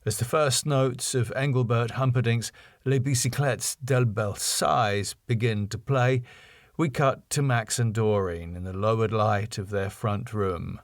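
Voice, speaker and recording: A man's voice: medium pitch, steady tone, a little slow, no room sound, studio quality. A middle-aged British man hosting a podcast, reading his own writing and talking casually to listeners. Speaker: podcast host and writer. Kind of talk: scripted screenplay reading and casual podcast talk.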